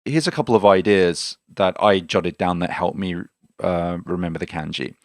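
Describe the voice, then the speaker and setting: A man's voice: sits low, moderate pace, no echo, clean studio sound. A man co-hosting a language-learning podcast, explaining study tips in a casual, step-by-step way. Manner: explains tips in plain, friendly steps. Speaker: podcast co-host, Japanese learner. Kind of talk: casual teaching chat.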